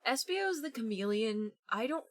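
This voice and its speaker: A woman's voice: fairly high pitch, expressive, moderate pace. A woman co-hosting a podcast, chatting casually in a giggly, self-deprecating way. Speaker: podcast co-host. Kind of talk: casual chat.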